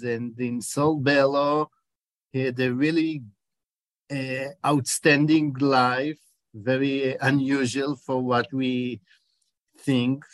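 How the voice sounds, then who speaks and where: A man in his forties with an Israeli accent talks slowly and haltingly, stopping often to hunt for the right word. He answers interview questions as a documentary director. The recording is close and dry, with almost no room sound. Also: medium pitch, no echo. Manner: halting, searches for words, many hesitations.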